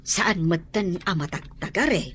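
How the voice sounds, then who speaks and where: Woman playing a slow, fretful mother. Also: fairly low pitch, expressive, a bit bright, a little hiss or hum. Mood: worried. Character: worried, gentle mother talking with her husband. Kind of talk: radio drama acting.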